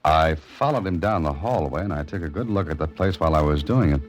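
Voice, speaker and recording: A man's voice: extremely low pitch, lively intonation, fast talker. A man with an American accent acting a wary, probing investigator who narrates a 1940s-style radio mystery. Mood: brooding, watchful. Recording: old radio broadcast with music behind.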